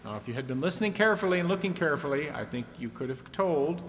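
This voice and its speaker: A man's voice: on the high side, expressive, fast talker, fairly bright tone. A man playing a genial, chatty host of an old-time radio audience show, explaining things and prompting with questions. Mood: genial, teasing. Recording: echoey hall with background noise.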